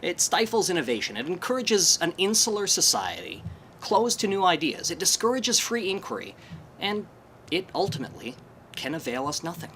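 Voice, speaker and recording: A man presenting to a room, wry and self-deprecating. His voice is fairly high and bright, swinging widely in pitch, with a faint hiss underneath. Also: sing-song, moderate pace. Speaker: presenter at a skeptics' meetup. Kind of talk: conference talk. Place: presentation room, live audience.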